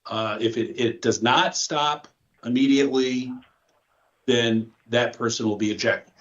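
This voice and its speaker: A man presiding over a hearing, making formal procedural announcements in a halting, deliberate way, on lo-fi playback. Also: mid-range voice, steady tone, fairly bright tone. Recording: dull lo-fi audio played through a phone.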